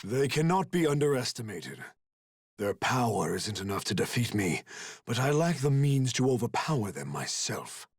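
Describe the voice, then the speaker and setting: A man voicing an arrogant, menacing warlord villain in an anime fantasy game, haughty and deliberate. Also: mid-range voice, sing-song, breathy edge, no echo, studio quality. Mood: grave.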